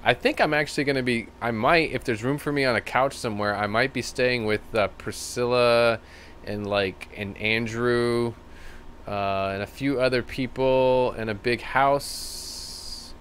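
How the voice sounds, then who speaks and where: A man with a slightly rough, tired voice, chatting loosely as he hosts a live stream. His voice sits at a middling pitch, with a faint hiss of background noise under it. He rambles easily and thanks viewers one by one. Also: measured pace, a bit bright. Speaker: livestream host. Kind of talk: casual live-stream chat reading comments.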